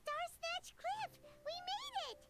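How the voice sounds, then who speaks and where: A woman voicing a tiny, excitable and blunt childlike fairy companion in a video game, with a squeaky voice. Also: slow, faint music behind, exaggerated voice. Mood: excited. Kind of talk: animated video game voice acting.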